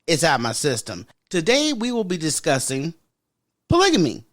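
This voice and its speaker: A woman with a deep voice hosting a podcast in casual solo chat, frank and sassy, talking straight to her listeners. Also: wildly swooping pitch, moderate pace. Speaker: podcast host.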